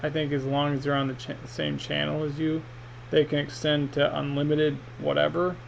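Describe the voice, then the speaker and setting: A man's voice: fairly high pitch, little pitch movement, moderate pace. A man giving a casual, rambling, hesitant product review in an unboxing vlog, speaking off the cuff. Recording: muffled, with clear background noise.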